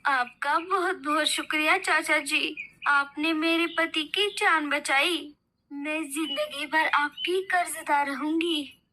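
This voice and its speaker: A woman's voice: high-pitched, fairly even intonation, slow pace, bright, forceful tone, heavily acted. A woman voicing an anxious, devoted bird wife in a melodramatic children's cartoon, pleading and emotional. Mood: grateful.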